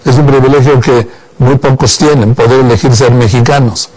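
A man's voice: mid-range voice, steady tone, normal speed, a little mellow, low-quality recording. An older man born in Madrid, hosting a radio interview and pressing his guest with direct, conversational questions. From Spain, born in Madrid. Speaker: radio host.

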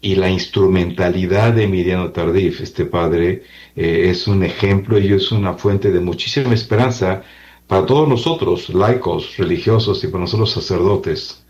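A man speaking as a priest and interview guest, giving earnest, deliberate testimony in a conversational way over a dull, band-limited recording. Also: deep voice, a little mellow.

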